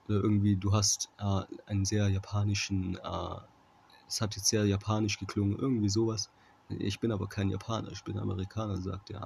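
Guy doing casual bible teaching, flat lowish voice, halting, keeps checking you follow, noisy phone recording. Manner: halting, often checking with "okay?". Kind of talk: informal Bible commentary with scripture reading.

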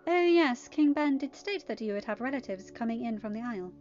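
A woman's voice: a little high, expressive, fairly quick, a little mellow, lightly acted. A woman reading a story, voicing a prim, condescending young woman who speaks in a polite, pointed way. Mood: politely condescending. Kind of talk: amateur story reading with dialogue. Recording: dull lo-fi audio, music behind.

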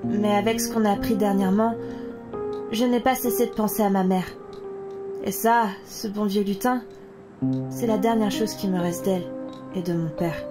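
A woman's voice: medium pitch, steady tone, normal speed, long pauses, close to an everyday voice. A woman playing an overworked, guarded mother in an audio drama, with curt, natural delivery. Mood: wistful. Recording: music under the voice.

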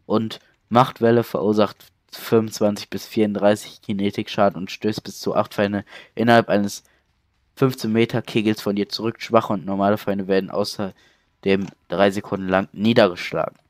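Lowish stuffy-voiced gamer man. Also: little pitch movement, moderate pace, no echo, clean studio sound. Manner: casual, chatty, reads out game text. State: stuffy cold. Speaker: let's play gamer. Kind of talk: casual gameplay commentary. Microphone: headset.

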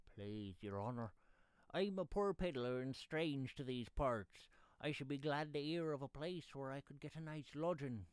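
A man's voice: medium pitch, expressive, normal speed, a little mellow, clear, resonant tone, no room sound, pitched above the performer's own voice, lightly acted. A man voicing a shabby, furtive little pedlar in a storybook reading, humble and hesitant, with rustic pleading speech. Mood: submissive, pleading. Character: shabby, furtive, undersized pedlar, submissive and wheedling. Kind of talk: audiobook character voice.

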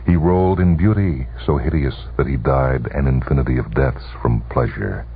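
A man giving a dramatic scripted narration in 1970s radio style, grave and intense, with deliberate phrasing. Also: very deep voice, husky. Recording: tinny, faintly noisy old radio recording.

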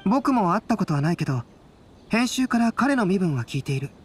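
Composed, high-voiced young scholar. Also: male voice, very animated, a little fast, slight background noise. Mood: thoughtful. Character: calm, analytical young male scholar-artist. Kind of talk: anime game voice acting.

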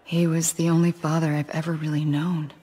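Brooding female warrior, husky voice, quiet, game. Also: low voice, flat delivery, fairly quick. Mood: troubled. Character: brooding green-skinned female warrior assassin. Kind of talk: video game acting.

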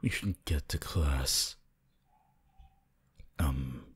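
A man voicing a shy, gentle, apologetic young wolf student in an ASMR roleplay, hesitant, stammering and soft-spoken. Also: very deep voice, extremely slow, breathy edge, exaggerated voice. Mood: wistful.

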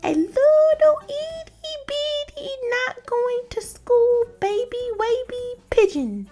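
A woman performs a whiny young cartoon pigeon from a storybook, fretting dramatically. The voice is extremely high and drags words out very slowly, exclaiming theatrically. Music plays clearly behind it. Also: lively intonation, warm tone, exaggerated voice. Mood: pleading. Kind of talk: children's storybook read-aloud.

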